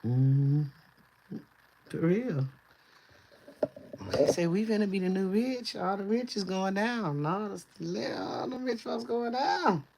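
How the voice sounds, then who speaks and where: A man casually chatting on a livestream in a loose, rambling way, his voice going hoarse. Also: high-pitched, lively intonation, takes it slowly, long pauses, a little mellow, a little hiss or hum. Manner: loose, rambling talk with easy laughs. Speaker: livestream host.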